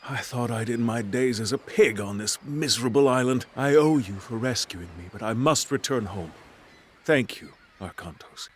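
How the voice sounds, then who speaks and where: A man's voice: medium pitch, moderate pace, lightly acted. An actor playing an earnest, weary warrior king in a video game cutscene. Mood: grateful. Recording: slightly noisy game screen capture.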